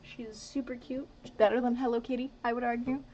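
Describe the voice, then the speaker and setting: Young dutch-native woman rambling on a stream, bit high voice, kinda dull lo-fi audio w faint hum. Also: little pitch movement, brisk, warm tone. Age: 20s. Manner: rambling, mutters and whispers asides. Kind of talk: casual live-stream chat while painting.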